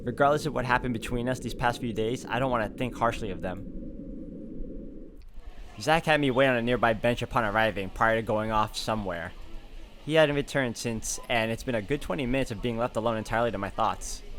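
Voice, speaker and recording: A man's voice: mid-range voice, brisk, fairly bright tone, slight background noise. A man hosting a game walkthrough, commenting and reading visual novel narration aloud. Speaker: gaming walkthrough host. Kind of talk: let's play commentary and read-aloud narration. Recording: game music clearly behind the voice.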